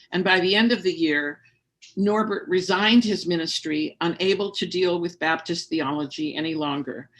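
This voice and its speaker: A lay speaker reading a historical tribute aloud during a worship service, in a measured way with brief personal asides. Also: medium pitch, fairly bright tone.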